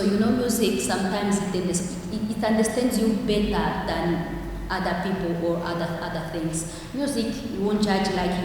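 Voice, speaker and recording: A woman whose first language is Swahili gives a personal talk at a conference, halting and searching for words with many restarts. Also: mid-range voice, warm tone. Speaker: conference speaker. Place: large hall. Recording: echoey hall, distant mic, noisy.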